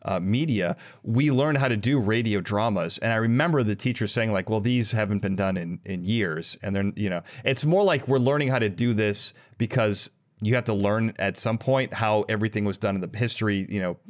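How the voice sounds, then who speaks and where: A man's voice: on the low side, brisk, hardly any pauses. A man hosting a podcast in casual conversation, talking in a rambling, enthusiastic way and often interrupting himself. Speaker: podcast host.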